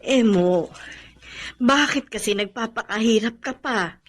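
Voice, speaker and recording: A woman's voice: fairly high pitch, a little slow. A Filipino woman playing a loving, worried foster mother in a radio drama, tender and emotional. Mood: fussing, worried.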